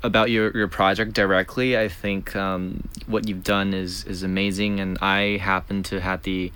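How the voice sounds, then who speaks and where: A male interviewer with a very deep voice that comes across clean and resonant. He is friendly and chatty, filling gaps with short affirmations. His questions wander loosely before landing. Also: little pitch movement, normal speed, sharp tone, clear, resonant tone. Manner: friendly, frequent backchannels, loose rambling questions. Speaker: interviewer, podcast host. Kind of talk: casual interview conversation.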